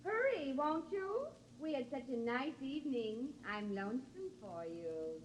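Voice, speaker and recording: A lonesome, coaxing wife in a 1950s radio play. Her very high voice calls from a distance with long reverb. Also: sing-song, unhurried, breathy edge, heavily acted. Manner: calling out, coaxing. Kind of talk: 1950s radio drama acting.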